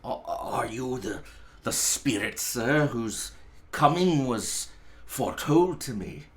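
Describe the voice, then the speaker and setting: A man acts out a wary old miser from a storybook. The voice drops low and quiet, halting and muttered, yet insistent. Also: lively intonation. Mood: wary, questioning.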